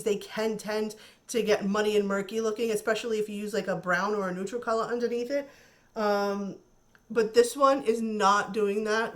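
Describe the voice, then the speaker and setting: A woman chatting casually through a makeup tutorial, thinking aloud and admiring the colors, her voice a little nasal from a cold. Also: mid-range voice. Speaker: beauty vlogger.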